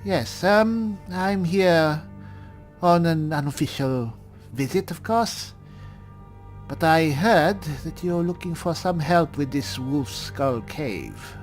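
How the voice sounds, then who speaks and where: A man voicing a polite, bumbling cleric adventurer in in-character gameplay commentary, halting and musing. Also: fairly high pitch, lively intonation, faint music behind. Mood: hesitant, polite. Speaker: roleplaying game player.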